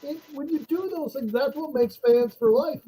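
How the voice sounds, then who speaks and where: High-voiced man telling stories casually. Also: moderate pace, slight background noise, low-quality recording. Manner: animated anecdotes, laughs while telling stories. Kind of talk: casual chat, storytelling.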